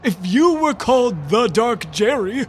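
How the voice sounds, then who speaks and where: A man playing a pompous, excitable elderly wizard in a comedic fantasy audio drama, theatrical, sarcastic and long-winded. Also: very high-pitched, a little slow, a little hiss or hum, exaggerated voice. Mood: exasperated. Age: older.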